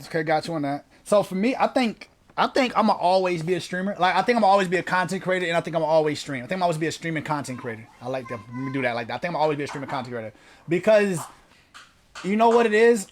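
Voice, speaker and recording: A man's voice: on the high side, expressive, very fast, a little hiss or hum. A man in his late twenties hosting a casual interview, talking loosely and profanely, joking at himself. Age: 20s. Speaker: host.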